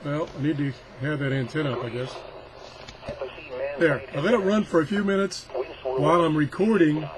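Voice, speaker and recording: A man's voice: a little high, moderate pace, pauses often, a crowd in the background, rough recording. A man giving a casual hands-on product demonstration as a video reviewer, rambling and thinking aloud. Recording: weather radio chattering in the background.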